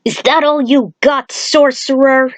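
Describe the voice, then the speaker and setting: A woman voicing a cocky, taunting male fighter in a story, with brash, drawn-out delivery. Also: high voice, steady tone, no room sound, voice pushed up.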